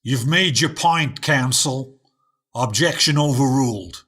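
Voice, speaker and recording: A man's voice: mid-range voice, slow pace, bright, forceful tone. A man playing a stern, indignant judge in a radio play, with a formal, weighty, scolding manner.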